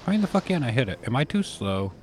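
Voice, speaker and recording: A man's voice: mid-range voice, very animated, fast talker, background noise. A man streaming a video game, joking crudely and swearing a lot in casual banter.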